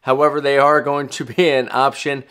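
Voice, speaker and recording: Middle aged guy doing a travel vlog, regular mid pitch voice, chatty and casual, kinda self-deprecating while he explains stuff. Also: flat delivery, normal speed, a bit bright, clean tone. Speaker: travel vlogger and host. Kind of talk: casual explainer talk to viewers.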